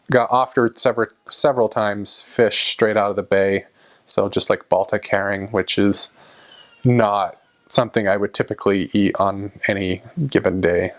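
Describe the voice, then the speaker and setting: Relaxed rambling man, low voice. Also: creaky. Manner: relaxed, rambling, frequent fillers and restarts. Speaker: vlogger recounting time abroad. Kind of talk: casual talking to camera.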